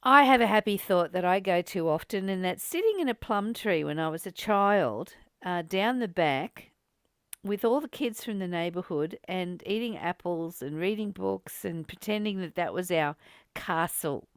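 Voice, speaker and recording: A woman's voice: lowish voice, lively intonation, normal speed, clear, resonant tone, no room sound, clean studio sound. A woman hosting a reflective spiritual study discussion, speaking in an encouraging, reassuring way. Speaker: study group host.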